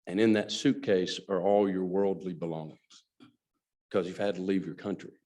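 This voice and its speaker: Deep-voiced man giving a speech. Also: steady tone, moderate pace, dark tone. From Alabama, USA. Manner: earnest storytelling, addresses audience directly. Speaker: guest speaker, company president. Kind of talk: luncheon speech.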